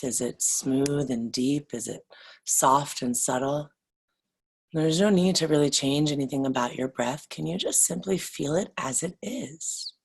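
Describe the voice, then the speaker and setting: A woman leading a guided yoga nidra meditation in a soothing, deliberate way, leaving long pauses between phrases. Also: low voice, husky.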